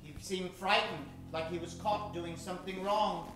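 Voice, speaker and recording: A man's voice: sits high, fairly even intonation, moderate pace, background noise, close to an everyday voice. A man playing the droll storyteller of a comic old-time radio mystery, narrating with suspense and wry asides. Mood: suspenseful. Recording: very echoey stage, heard at a distance.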